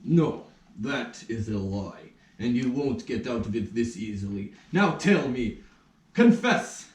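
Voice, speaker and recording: A man playing a stern, bullying police officer in a puppet play, with drawn-out, domineering delivery in a roomy recording. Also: mid-range voice, lively intonation, a little mellow. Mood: stern, suspicious. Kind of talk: puppet theatre acting. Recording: roomy, distant rehearsal recording.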